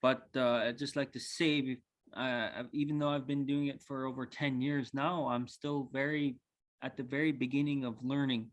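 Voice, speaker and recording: A man in his twenties from northern Saskatchewan, a cultural coordinator giving a relaxed, humble talk over a video call, with many ums and uhs. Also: mid-range voice, moderate pace. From northern Saskatchewan, Canada. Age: 20s. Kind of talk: casual spoken presentation.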